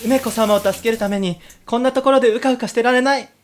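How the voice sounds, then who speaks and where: A man playing a hysterical, melodramatic heroine in a comic radio drama, speaking haltingly. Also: very high voice, fairly even intonation. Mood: dramatic realization. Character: hysterical, melodramatic heroine recalling her rescue mission. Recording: very echoey, reverberant, noisy.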